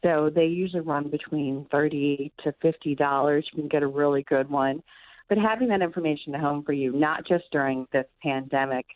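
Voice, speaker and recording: A woman's voice: sits low, fairly quick, no echo. A woman physician giving explanatory answers as an interview guest over a phone-like line.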